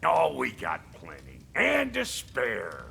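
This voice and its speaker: A man playing a cocky, foul-mouthed dwarf blacksmith in a video game, gruff and brash, with an American accent. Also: medium pitch, huge pitch swings, takes it slowly, bright, forceful tone, exaggerated voice. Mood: smug. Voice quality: gruff, gravelly. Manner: brash, slangy, drawling. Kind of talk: cinematic video game acting.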